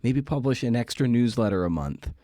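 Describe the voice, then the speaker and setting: A man hosting a podcast in a casual, confessional monologue, halting and self-deprecating, with casual swearing. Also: mid-range voice, very animated, warm tone, no echo, clean studio sound. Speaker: podcast host. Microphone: close podcast microphone.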